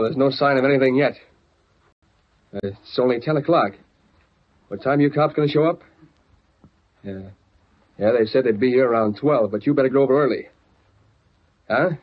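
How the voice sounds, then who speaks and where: A man plays a plain-spoken, nervous American small businessman in a vintage radio drama. His medium-pitched voice is husky, on a dull old recording. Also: normal speed, long pauses, lightly acted. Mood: uneasy.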